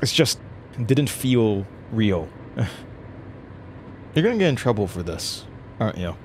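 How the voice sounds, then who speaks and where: Troubled sardonic male lead, actor-played, horror podcast drama. Hesitant, lowish, very varied intonation. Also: very animated, a little hiss or hum. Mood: weary, dazed. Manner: halting, sighing, wry. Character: troubled radio host, weary, sardonic, freshly released from custody. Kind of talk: horror audio drama acting.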